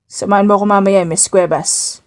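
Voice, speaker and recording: A woman voicing a cocky, seductive male boss in a romance audiobook, teasing and commanding in a slow delivery. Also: mid-range voice, fairly even intonation, darkish tone, natural voice. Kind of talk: romance audiobook character acting.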